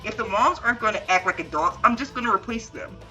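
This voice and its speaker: Bossy female dance coach played by a woman, bright sharp voice, demanding, emphatic scolding, online roleplay drama. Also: medium pitch, lively intonation, fast, dull audio, faint music behind. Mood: scolding, indignant. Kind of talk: voiced roleplay acting for an online video series.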